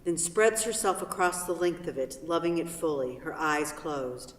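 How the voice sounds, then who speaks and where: Echoey hall, far from mic, medium-pitched voice, scripted narration, stage directions. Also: animated, normal speed.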